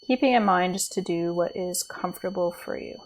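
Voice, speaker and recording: A woman leading a guided body scan meditation as a teacher, speaking in a gentle, soothing, unhurried way with pauses. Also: on the low side, a little hiss or hum, rough recording.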